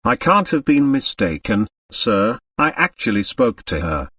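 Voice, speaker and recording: A man's voice: lowish voice, very animated, measured pace, bright, forceful tone, dry recording, clean studio sound, distant mic, full character voice. Man acting a tactful, precise private secretary. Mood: respectfully firm. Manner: polite, precise. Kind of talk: audiobook character voice.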